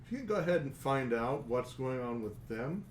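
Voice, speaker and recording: A man's voice: medium pitch, animated, normal speed, roomy sound, slight background noise, close to an everyday voice, rough recording. A man voicing a proud female werewolf pack leader, curt and guarded.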